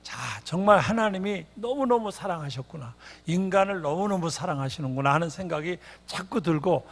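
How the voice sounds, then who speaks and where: An older retired pastor, born in North Korea, preaching a revival sermon in a church with repeated rhetorical questions. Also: male voice, on the high side. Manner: sings a hymn, then preaches with repetitive rhetorical questions. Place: church sanctuary. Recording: long church reverb.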